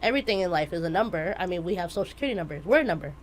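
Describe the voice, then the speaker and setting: A woman in her twenties, a math teacher chatting casually as a guest, telling stories in an animated way. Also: on the low side, racing, slight background noise. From United States. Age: 20s. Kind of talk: casual interview chat.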